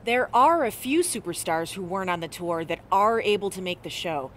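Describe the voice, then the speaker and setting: A woman's voice: on the low side, lively intonation, moderate pace, a bit bright, slight background noise. An actress voicing a stern, no-nonsense female wrestling trainer in a video game.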